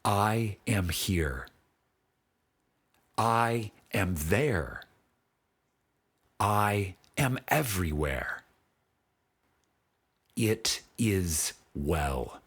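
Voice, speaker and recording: A man with a husky voice reads a spiritual audiobook in a solemn, deliberate manner with dramatic pauses. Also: lowish voice, lively intonation, fairly bright tone. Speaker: audiobook narrator. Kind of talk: scripted spiritual audiobook narration.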